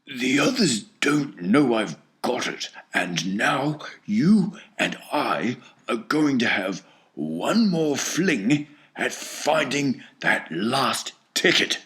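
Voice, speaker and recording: A man voicing an excitable old grandfather over sixty who talks in breathy, trembling whispers. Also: mid-range voice, slow, pitched above the performer's own voice, poor audio. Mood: excited whisper.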